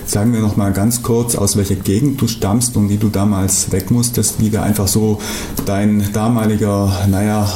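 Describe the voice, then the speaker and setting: A man's voice: on the low side, level tone, moderate pace, warm tone, reverberant, slight background noise. A man hosting a local radio talk show, phrasing his questions loosely and rambling with fillers. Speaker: radio host.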